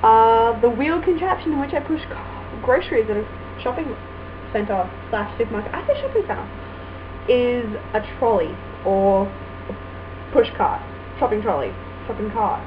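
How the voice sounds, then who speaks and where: A woman's voice: on the high side, expressive, moderate pace. A young woman vlogging casually, goofy and self-mocking, also reading aloud from a book. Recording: muffled webcam audio with mains hum.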